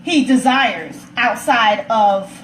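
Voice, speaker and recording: A woman's voice: a little high, slow. An American woman giving her opinions in casual chat, assertively, restating points for emphasis. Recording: roomy echo, faint background noise.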